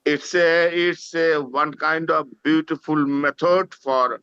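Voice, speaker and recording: Man, slow and halting, bright crisp voice, dry muffled audio. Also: a little high, steady tone, no room sound. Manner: halting, polite, grateful. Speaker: webinar participant.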